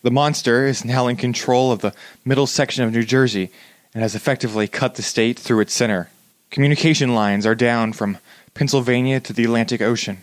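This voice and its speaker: A man's voice: mid-range voice, fairly even intonation, fairly quick, dry recording, natural voice. A man playing a radio news announcer in a 1930s-style drama, reading bulletins in a formal, grave manner.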